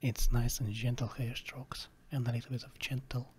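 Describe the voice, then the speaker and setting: A man playing a gentle, caring boyfriend in an ASMR sleep roleplay, with a Russian accent and a soft, breathy, often whispered voice. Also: mid-range voice, little pitch movement, normal speed, lightly acted. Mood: soothing, affectionate. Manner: soft, soothing, reassuring.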